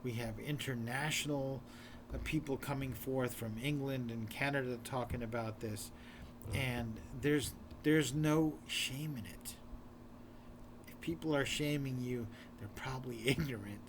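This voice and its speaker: A hoarse man, an author speaking to his viewers in an earnest, repetitive, urging way. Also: mid-range voice, a little slow, background noise. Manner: urgent, repetitive, exhorting the viewer. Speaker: author presenting his book in a video series. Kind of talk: earnest direct-to-camera talk.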